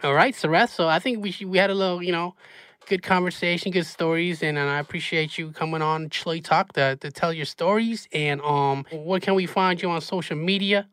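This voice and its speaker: Bright-voiced laid-back man hosting a chat. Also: a little high, fairly even intonation, fairly quick, clean tone, no room sound. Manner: laid-back, many fillers, laughs often. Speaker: podcast host. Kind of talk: casual chat.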